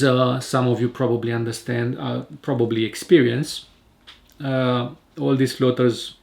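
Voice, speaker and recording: Calm, gravelly-voiced man, full of fillers. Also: medium pitch. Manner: calm, hesitant, many fillers and restarts. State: recovering from eye surgery. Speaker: patient sharing his surgery experience. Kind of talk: casual spoken vlog. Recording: home video recording.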